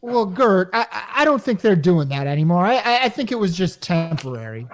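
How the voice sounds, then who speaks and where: A woman's voice: lowish voice, very animated, brisk. A woman playing Frank's part as a flustered, henpecked married repairman, stammering nervously in a radio comedy. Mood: nervous, placating. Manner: stammering, hesitant.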